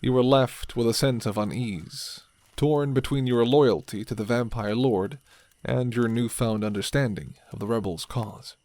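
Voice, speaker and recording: A man narrating a dark fantasy ASMR roleplay in calm second-person storybook style. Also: medium pitch, unhurried, no echo.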